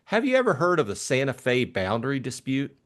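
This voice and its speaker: A man voicing a breezy, eager young upper-class gentleman in an audiobook mystery, with chummy, exclamatory, slangy talk. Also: mid-range voice, huge pitch swings, a little fast, pitched above the performer's own voice. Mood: triumphant, eager.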